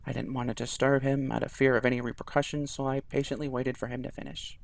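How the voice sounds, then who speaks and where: Man reading a story quietly, dry sound. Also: mid-range voice, little pitch movement, quick pace, gravelly, no room sound. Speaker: channel host reading a visual novel.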